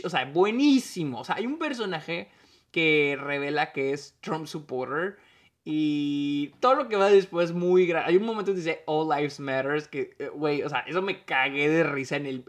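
A man with a Mexican accent giving a casual, rambling solo film review, full of restarts and dropped-in English words. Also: fairly high pitch.

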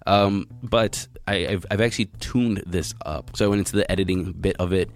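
An American man hosting a news podcast, with a slightly raspy voice lowered by illness, reading stories and adding chatty, wry asides on a close, compressed mic. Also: fairly even intonation, a little fast. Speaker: podcast host. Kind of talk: news reading with casual commentary. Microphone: close podcast mic, compressed and equalized. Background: music.

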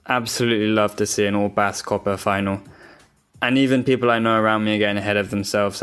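Enthusiastic low-voiced football pundit, man. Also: a bit bright, smooth. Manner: enthusiastic, repeats words for emphasis. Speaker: podcast guest pundit. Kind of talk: casual football analysis.

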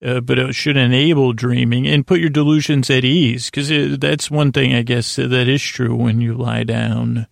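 A man with a heavily creaky, gravelly voice, recorded close and dry. He hosts a bedtime podcast, rambling soothingly and haltingly, often interrupting himself as he drifts along. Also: medium pitch, little pitch movement, dry recording. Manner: halting, meandering, self-interrupting, gently absurd; soothing, lulling delivery. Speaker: podcast host. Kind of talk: rambling bedtime monologue to help listeners sleep.

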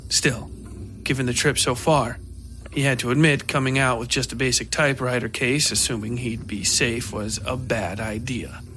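A man's voice: mid-range voice, steady tone, normal speed, a bit bright, slight background noise. A man reading scripted horror fiction as the narrator of an audio story.

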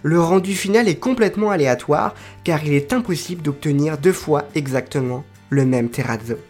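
A man hosting a solo podcast, explaining his topic in a chatty way and often pausing to hesitate. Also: on the high side. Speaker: podcast host. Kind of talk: solo informative podcast monologue.

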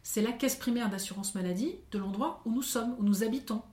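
A woman's voice: on the high side, brisk, darkish tone. A woman methodically explaining social security rules as a podcast guest. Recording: roomy echo.

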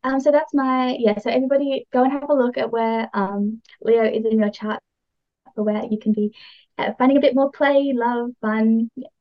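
A woman's voice: a little high, steady tone, moderate pace, dull audio. A woman chatting casually as she explains astrology as a podcast guest, informal, enthusiastic and encouraging.

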